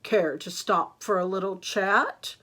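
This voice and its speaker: A woman voicing a sly, charming, greedy male wolf in a storybook tale, savoring smooth-talking phrases. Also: mid-range voice, slow, bright, forceful tone. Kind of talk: storybook character voice.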